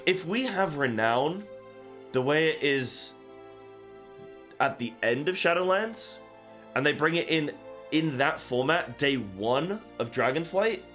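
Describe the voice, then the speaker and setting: A man's voice: a little high, a bit bright. Man rambling casually over background music. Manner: rambling and repetitive, talking off the cuff. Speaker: gaming content creator and host. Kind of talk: casual reaction commentary on a game announcement.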